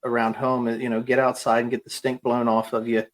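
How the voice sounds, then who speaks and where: A man's voice: mid-range voice, little pitch movement, brisk, poor audio. Easygoing man hosting a podcast. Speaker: podcast host. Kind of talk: casual chat.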